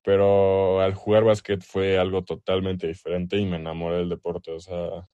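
A young man with a low-pitched voice and a Mexican accent speaks casually, as a player being interviewed. The sound is dry, with very little room around it. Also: monotone, normal speed, dark tone, clean tone, no room sound, dull audio. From El Salvador.

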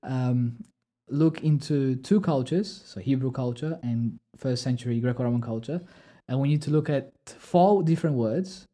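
Guy talking theology on a podcast, mellow low-key tone, walks through it step by step. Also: normal speed. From Poland. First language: Polish. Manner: thoughtful, explaining step by step with asides. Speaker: podcast co-host explaining theology. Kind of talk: casual explanatory chat.

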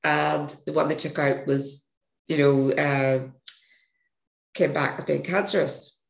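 A woman with a gravelly, hoarse voice, a podcast guest telling a rambling story and correcting herself as she goes. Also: deep voice, fairly even intonation, takes it slowly. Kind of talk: casual interview storytelling. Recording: echoey room.

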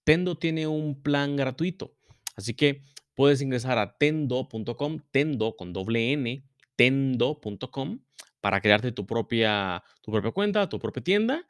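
A male podcast host who stumbles and repeats words as he reads out promotions and introduces his guest. His voice sits at an ordinary middle pitch and sounds dry and close. He is speaking in an office. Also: animated, clear, resonant tone, dry recording. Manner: halting, repeats words while reading sponsor spots. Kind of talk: casual interview podcast.

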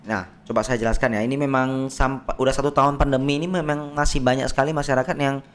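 A slightly hoarse man, a doctor talking on a podcast. He explains things patiently and builds simple everyday comparisons. His voice sits at an ordinary middle pitch. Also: steady tone, fast talker. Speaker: doctor guest. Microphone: podcast microphone.

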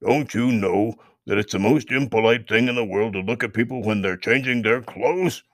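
A man voicing a grumpy, proud old male toad who talks in gruff, curt grunts in a storybook reading. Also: medium pitch, normal speed, dry recording, clean studio sound, natural voice.

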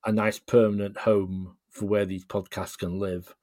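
A man's voice: fairly low pitch, level tone, unhurried, a little mellow, no room sound, clean studio sound. A man chatting as an interview guest, explaining things in a thoughtful, hesitant way. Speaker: podcast guest, writer. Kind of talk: casual interview chat.

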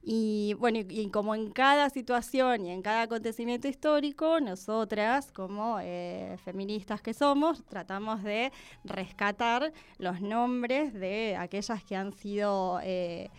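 A woman's voice: mid-range voice, a little slow, clean tone. A woman speaking hesitantly on a radio talk show, with many fillers. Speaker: radio co-host. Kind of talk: casual radio chat.

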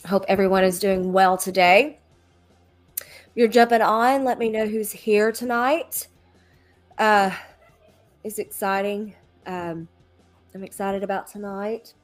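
A woman's voice: medium pitch, fairly even intonation, takes it slowly, pauses often, soft background music. A woman with a cold and a slightly nasal voice, hosting a church livestream in a chatty way with many ums and restarts. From Alabama. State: congested from a cold, a little nasal. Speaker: ministry leader hosting a livestream. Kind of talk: casual livestream preaching.

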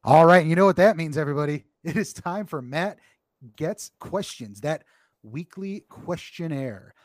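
A man's voice: on the high side, moderate pace, dry recording. Wry male host in a dry recording. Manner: wry, steering the show, blunt in disagreement. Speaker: podcast host. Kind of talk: casual sports chat, segment intros.

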